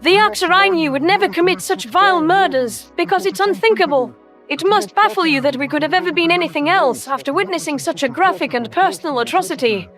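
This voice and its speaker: A woman playing an ancient, principled alien predator soldier who speaks in a smooth, formal, reproachful way in an audio story. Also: very high voice, lively intonation, a little fast, hardly any pauses, fairly bright tone. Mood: earnest, grieving. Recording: music under the voice. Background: music.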